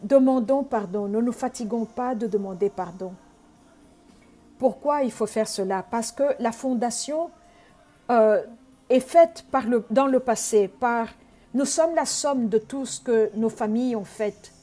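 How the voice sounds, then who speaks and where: Earnest woman preacher, mellow voice. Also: fairly high pitch, a little slow. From Congo. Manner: earnest teaching tone, frequent hesitations. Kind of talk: sermon.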